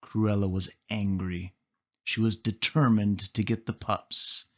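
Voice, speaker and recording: A man reading a children's story aloud as storyteller, with expressive narration. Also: lowish voice, takes it slowly, muffled recording.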